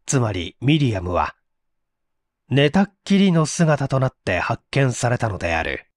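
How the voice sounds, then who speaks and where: A man's voice: mid-range voice, very animated, a little slow, dry recording, clean studio sound. A man narrating a mystery story from a script in a theatrical, suspenseful manner. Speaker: audiobook narrator, stage actor.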